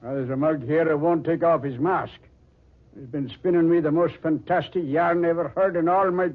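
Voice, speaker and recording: An actor playing a brash, slangy, excitable policeman in old-time radio drama style. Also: on the high side, moderate pace, lightly acted. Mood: impatient. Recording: thin, phone-like vintage radio recording.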